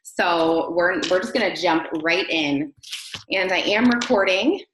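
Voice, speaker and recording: Casting: woman, 30s, casual webinar host, lowish register, roomy laptop-mic sound. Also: a little slow, fairly bright tone, slight background noise. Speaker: host of an online training session. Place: small home room, indoors. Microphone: laptop on a video call.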